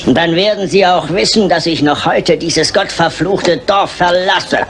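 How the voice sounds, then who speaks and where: Swaggering high-voiced village drunk, noisy recording. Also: male voice, unhurried. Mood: cocky. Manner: swaggering, taunting. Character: drunken, sardonic village man, rough and mocking. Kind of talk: German radio crime drama acting. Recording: noisy old radio play with ambience.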